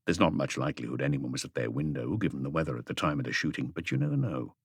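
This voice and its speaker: Man acting a calm, deep-voiced police chief inspector. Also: fast talker, husky, no echo, clean studio sound, exaggerated voice. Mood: businesslike.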